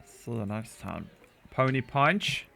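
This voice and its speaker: A man's voice: medium pitch, animated, takes it slowly, creaky, pushed and bright, soft background music. A man hosting the end of a group game stream in casual chat. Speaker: show host and player. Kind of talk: casual chat, sweary sign-off.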